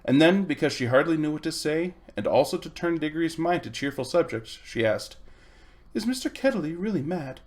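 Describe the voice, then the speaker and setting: A man's voice: fairly high pitch, a little fast, few pauses. A man reading a children's storybook aloud as its narrator. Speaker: reader.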